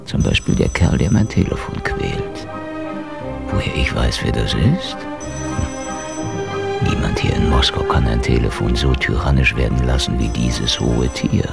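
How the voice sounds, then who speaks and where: Casting: jaded Soviet-era gumshoe for radio noir, deep creaky voice, laconic sarcasm. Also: male voice, expressive, a little slow, loud music behind the voice, exaggerated voice. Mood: wry, weary. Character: sardonic, world-weary Soviet-era private detective. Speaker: first-person narrator and lead character. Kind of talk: noir radio drama monologue and dialogue.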